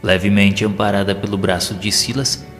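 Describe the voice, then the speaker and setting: A solemn spirit narrator, played by a man with a lowish voice and Brazilian accent. He tells his tale in measured, literary audio-drama style, compassionate and grave. His words ring in a long hall reverb over clear music. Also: little pitch movement, natural voice. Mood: solemn, moved.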